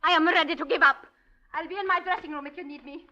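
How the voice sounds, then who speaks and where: A cold, precise ballet mistress who speaks in clipped, disdainful lines. Her voice is very bright and high. It comes through a dull old radio recording. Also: steady tone, fast talker. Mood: scornful. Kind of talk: 1940s radio acting.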